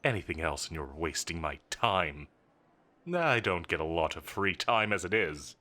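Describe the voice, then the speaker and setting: A man's voice: sits low, expressive, moderate pace, sharp tone. A man playing a smug, menacing villain boss in an audio roleplay, with a theatrical, mocking, condescending delivery. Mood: mocking, cold. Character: smug, menacing male supervillain boss toying with his enemy.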